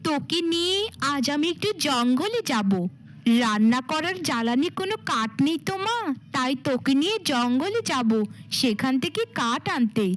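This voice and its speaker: A woman's voice: high voice, very animated, moderate pace, fairly bright tone. A woman voicing a scheming stepmother in a cartoon fairy tale, with a theatrical manner. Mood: sugary, coaxing. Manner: theatrical, coaxing and cajoling. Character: scheming, cruel stepmother feigning sugary motherly love.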